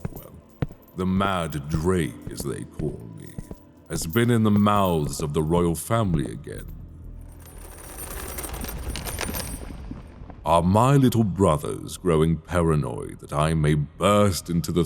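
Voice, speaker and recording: A man's voice: extremely low pitch, big pitch swings, gravelly, slight background noise, exaggerated voice. A man playing an imprisoned mad dragon king, seductive, obsessive and menacing, in an intimate, teasing, theatrical ASMR roleplay delivered close to the mic. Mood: amused menace. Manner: theatrical, teasing, drawn-out delivery with soft asides. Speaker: audio roleplay voice actor. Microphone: close binaural microphone.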